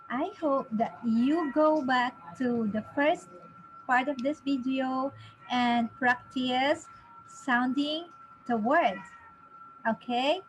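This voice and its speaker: A woman in her thirties teaching a children's phonics lesson, encouraging, with exaggerated, deliberate enunciation for young learners. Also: high voice, low-quality recording. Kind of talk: children's phonics lesson, sounding out letters and words.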